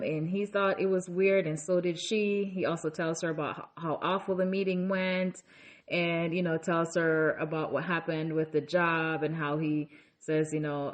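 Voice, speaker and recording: Chatty woman recapping a reality show. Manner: chatty, conversational, lots of fillers and asides; acts out quoted lines in a raised pitch. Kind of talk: casual episode recap commentary.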